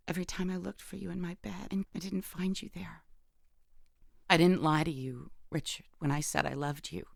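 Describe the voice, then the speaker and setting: An actress playing a bitter, wounded imprisoned wife in an audio drama monologue, speaking in a halting, intimate, emotionally charged way with a creaky voice. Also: female voice, mid-range voice, no echo, clean studio sound, close to an everyday voice. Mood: anguished. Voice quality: gravelly, creaky. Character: bitter, wounded imprisoned wife who killed her abusive husband.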